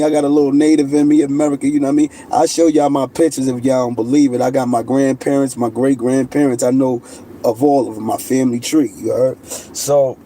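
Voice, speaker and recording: A man's voice: mid-range voice, dark tone, a little hiss or hum. A middle-aged man, talking in a casual monologue full of street slang. He speaks insistently and keeps checking in with "you know what I mean". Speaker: channel host.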